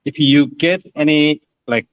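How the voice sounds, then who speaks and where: A man with a Korean accent, whose first language is Korean, answering briefly and softly in halting English as a salon owner. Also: fairly high pitch. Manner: soft-spoken, brief, halting broken English. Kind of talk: casual interview answers. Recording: muffled lo-fi live stream.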